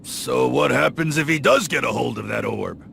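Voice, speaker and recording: A man playing a brash, impatient, scornful brawler with a gravelly voice in a video game cutscene. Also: medium pitch, normal speed, fairly bright tone, a little hiss or hum. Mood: puzzled. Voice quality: gravelly, creaky. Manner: brash, slangy, blunt.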